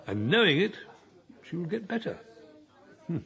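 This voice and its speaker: An actor playing an earnest, gently awkward older man in a radio drama, hesitant at times. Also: high-pitched, big pitch swings, normal speed, creaky, exaggerated voice.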